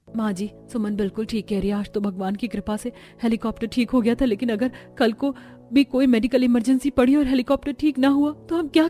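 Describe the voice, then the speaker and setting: A woman playing women of a joint family in a Hindi cartoon story, with expressive, earnest dramatic delivery. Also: high voice. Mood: worried, firm. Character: women of a joint family, dutiful daughters-in-law and mothers-in-law.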